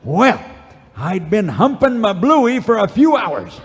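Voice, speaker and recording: An actor playing a cantankerous, boastful old cowboy sidekick, spinning folksy, rambling tales in a live old-time radio comedy. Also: male voice, high-pitched, wildly swooping pitch, slow, full character voice. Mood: animated, boastful. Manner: folksy, rambling, theatrical storytelling. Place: live radio theater stage. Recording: echoey theater stage, music behind.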